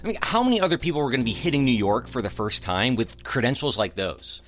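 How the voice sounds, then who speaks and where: An actor playing an eager, self-assured young aspiring theater director in an audio drama, earnest and conversational. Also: male voice, mid-range voice, sing-song, quick pace, a bit bright, faint music behind. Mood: confiding, eager. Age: young adult. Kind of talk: audio drama acting with confiding narration.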